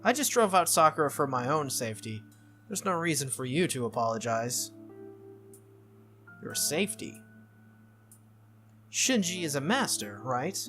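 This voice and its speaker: A brisk teenage schoolgirl from an anime visual novel, acted out by a playthrough reader. She is confident and needling, with a bright, swooping delivery over faint music. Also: mid-range voice, sing-song. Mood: matter-of-fact.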